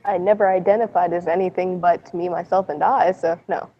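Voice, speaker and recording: A woman's voice: lowish voice, steady tone, brisk, crowd noise. A young woman, a college student, chatting as a guest on an online call, playful and teasing with frequent giggles. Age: young adult. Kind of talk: casual online chat. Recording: dull lo-fi voice chat with faint hiss.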